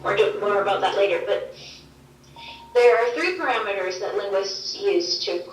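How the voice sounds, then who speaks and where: A woman whose first language is Swedish, answering interview questions on linguistics over a video call, explaining in a measured way with examples. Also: mid-range voice, lively intonation, slight background noise. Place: played through a wall screen in a dim room. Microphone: video call heard over speakers. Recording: roomy, distant playback from a screen.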